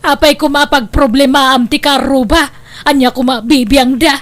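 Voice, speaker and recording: A woman playing a loud, dismissive wife with an Ilocano accent in a comedy drama, exclaiming and scoffing. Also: sits high, steady tone, moderate pace. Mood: scoffing, defiant. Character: loud, dismissive wife planning to fix up her house.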